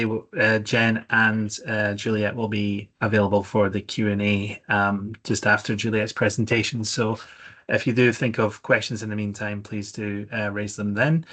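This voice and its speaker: Low-voiced man hosting a video call, polite and a little halting. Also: fairly bright tone. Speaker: meeting host. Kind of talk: hosting an online meeting. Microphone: computer mic on video call.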